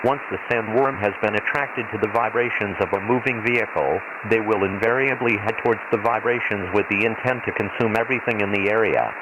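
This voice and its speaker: A hissy radio transmission carries a man acting as an official male weather announcer from a science fiction desert world. His voice is fairly low, filtered like a radio broadcast. He reads hazard warnings in a formal, measured, impersonal way, as a scripted emergency bulletin. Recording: hissy, noisy shortwave-style radio transmission.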